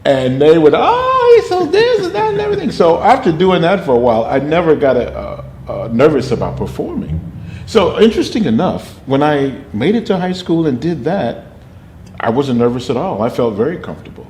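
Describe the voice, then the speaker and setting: An older man, an actor and drama teacher, telling animated stories from his life in an interview with a booming voice. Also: moderate pace. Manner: animated storyteller, laughs often. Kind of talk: interview storytelling. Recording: roomy with faint noise.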